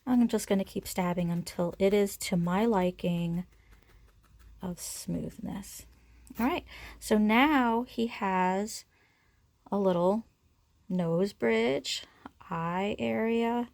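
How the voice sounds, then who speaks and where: A woman's voice: mid-range voice, slow pace, pauses often, darkish tone, no room sound. A woman giving a casual step-by-step craft demonstration, informally talking through each action as she works. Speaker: craft tutorial instructor.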